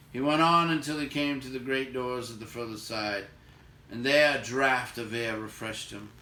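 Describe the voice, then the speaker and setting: A man's voice: medium pitch, bright, forceful tone. Man reading a story in an echoey room. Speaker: storybook reader. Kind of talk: expressive read-aloud narration of a fantasy novel. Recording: echoey room, distant mic, faint noise.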